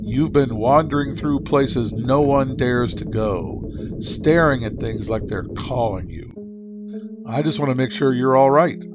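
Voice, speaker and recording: A woman voicing a sly, ambitious man whose low, smooth tone teases and probes. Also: unhurried, highs cut off, loud music behind the voice. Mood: probing. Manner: low, silky, teasing. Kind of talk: audiobook-style character voicing.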